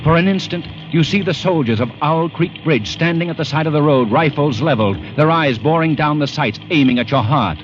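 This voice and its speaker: A man's voice: fairly high pitch, fairly quick, few pauses, background noise. A man giving tense, building second-person narration in an old radio drama. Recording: muffled old radio broadcast with music.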